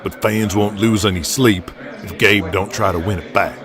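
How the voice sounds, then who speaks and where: A stubborn wrestling promoter, acted by a man in an audio drama. He is gruff, terse and folksy, low in pitch, over background music. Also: steady tone, normal speed, natural voice. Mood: calculating.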